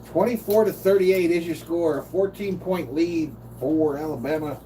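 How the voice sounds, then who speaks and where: A man's voice: on the high side, normal speed, dark tone. An older man streaming casual play-by-play of a game, chatting with viewers in a laid-back way. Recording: distant mic, faint room noise.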